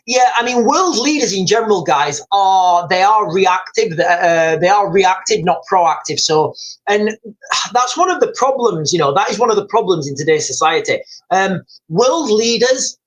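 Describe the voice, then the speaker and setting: High-voiced man chatting on a livestream. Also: lively intonation, normal speed, low-quality recording. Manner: rambling, repeats phrases, keeps addressing the viewers as guys. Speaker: livestream host. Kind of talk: casual live commentary to viewers.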